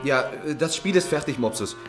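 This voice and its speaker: An actor playing a lordly, nonchalant gentleman who talks offhandedly down to his servant, in a 1960s German radio play. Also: mid-range voice, expressive, moderate pace, faint music behind, lightly acted. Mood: offhand. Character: lordly, nonchalant gentleman master, amused and indifferent.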